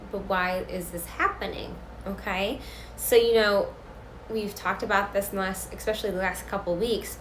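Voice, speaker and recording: A woman's voice: mid-range voice, fairly bright tone. Woman educator, stuffy and sick, earnest live-stream host, echoey room with faint hiss. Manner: filler-heavy, earnest, repeats for emphasis. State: congested, sick.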